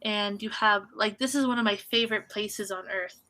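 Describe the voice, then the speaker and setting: A woman from Canada tutoring English on a video call, friendly and encouraging, gently correcting her student. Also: mid-range voice, fairly even intonation, moderate pace, low-quality recording. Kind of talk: online conversation lesson.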